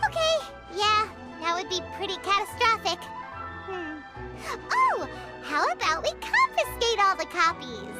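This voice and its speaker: A woman voicing an excitable small girl companion in a game, with a high, squeaky, childlike voice. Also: measured pace, loud music behind the voice, heavily acted. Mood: excited. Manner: excitable, reacts with loud exclamations. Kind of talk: game voice acting.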